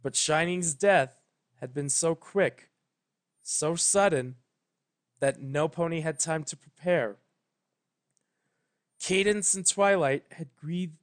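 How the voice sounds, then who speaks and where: A male narrator with a fairly high voice reads a story at a very slow pace. His delivery is solemn and deliberate, broken by dramatic pauses. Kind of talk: scripted fan fiction narration.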